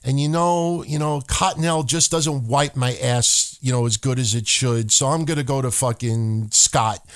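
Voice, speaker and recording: A man co-hosting a wrestling podcast in casual, profane chat, animated and swearing freely, sometimes slipping into joking broken-English voices. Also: medium pitch, normal speed, hardly any pauses.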